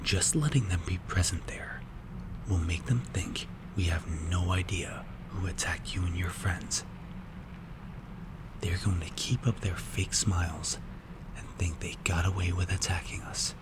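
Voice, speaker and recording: A man playing a strict, calculating mafia boss in an ASMR roleplay, speaking in a hushed, breathy, measured and menacing way. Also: low voice. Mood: calm, calculating. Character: strict, cold, calculating male mafia boss mentoring a protégé.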